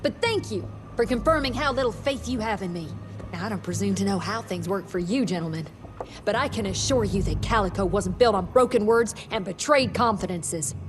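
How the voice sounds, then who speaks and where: Actress doing a prim lady scientist in a game, crisp and formal, music playing. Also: mid-range voice, animated, fairly quick, close to an everyday voice. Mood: cool. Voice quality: cool, precise and formal. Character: poised, proud female scientist. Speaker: doctor running a lab.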